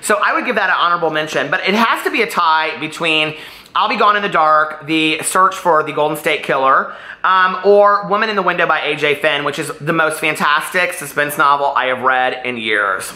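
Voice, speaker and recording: A man's voice: a little high, normal speed, pushed and bright, roomy sound, rough recording. A man talking casually in a book vlog, chatty, gushing and emphatic, wandering off on tangents. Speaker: book vlogger.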